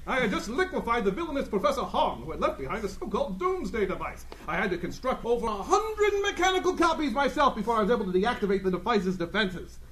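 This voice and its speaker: A man acting a bombastic gentleman adventurer in a comic radio play, telling his story in a pompous, grandiose way. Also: extremely high pitch, sing-song, fast talker, few pauses, full character voice. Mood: boastful.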